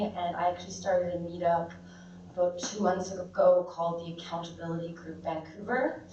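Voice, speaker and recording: Hesitant woman pitching her meetup. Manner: hesitant, many fillers. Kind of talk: informal pitch. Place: meetup room indoors. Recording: echoey room, distant dull mic, faint hiss.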